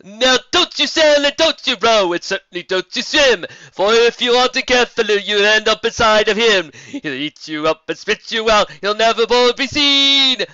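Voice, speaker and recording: A man voicing a mysterious female zebra bard who sings her warnings in slow, drawn-out rhyme, on a dull lo-fi recording. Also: extremely high pitch, animated, sharp tone, clear, resonant tone, no echo, pitched above the performer's own voice, full character voice. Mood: ominous singing. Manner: sung, rhyming and slow.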